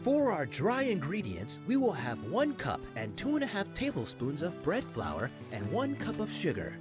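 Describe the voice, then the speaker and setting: An enthusiastic young student cooking instructor in a visual novel game. His delivery swings extremely widely in pitch as he gives directions. Clear music plays behind him. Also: huge pitch swings, normal speed. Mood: enthusiastic, instructive.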